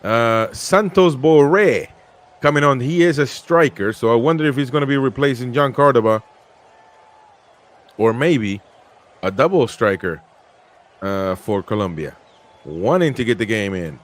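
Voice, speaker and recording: A man's voice: medium pitch, expressive, measured pace, pauses often. A man from Peru hosting a casual live football stream, chatting about the match and reading viewer comments aloud.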